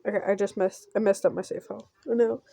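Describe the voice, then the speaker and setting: A woman reading visual novel text aloud in an amateur let's play, halting and often repeating words as she goes. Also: mid-range voice, fairly even intonation, creaky, mellow, dry recording.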